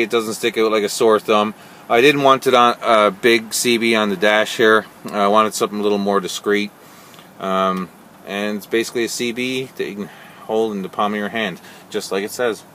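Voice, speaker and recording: A man giving a casual, unscripted walkthrough as an amateur vlogger, speaking haltingly with frequent pauses. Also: lowish voice, fairly bright tone. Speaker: amateur vlogger showing an installation. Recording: clear car and outdoor background noise.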